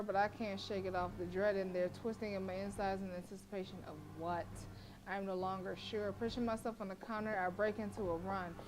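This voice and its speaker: A woman's voice: medium pitch, slight background noise. Woman streamer reading a horror game aloud. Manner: dramatic reading broken by profane, joking asides.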